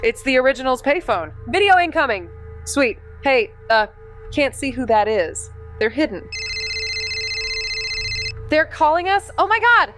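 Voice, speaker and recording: An actress playing a wry, sarcastic amateur detective in a noir audio drama. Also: female voice, sits high, big pitch swings, unhurried, long pauses, fairly bright tone, faint music behind, heavily acted. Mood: startled excitement. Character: wry amateur girl detective, narrating her father's case.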